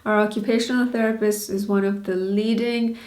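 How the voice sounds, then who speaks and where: A woman's voice: mid-range voice, steady tone, a little fast, darkish tone, reverberant. A woman in her thirties from Bangladesh and Canada, talking candidly in a personal vlog, earnest and questioning with small laughs. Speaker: vlogger.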